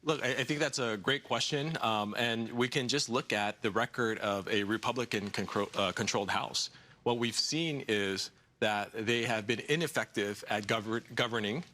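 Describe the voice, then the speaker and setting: A man's voice: fairly low pitch, moderate pace, bright, forceful tone. A man in his forties, a candidate answering questions in a TV news studio, earnest, with frequent fillers and repeated words. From United States. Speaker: congressional candidate. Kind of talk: TV studio interview.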